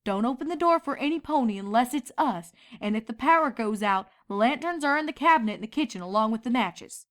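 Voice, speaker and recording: A woman's voice: a little high, lively intonation, brisk, few pauses, voice pushed up, natural voice. A woman voicing a protective, responsible young farm mare, a folksy and bossy but caring big sister in a story. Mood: brisk, directing.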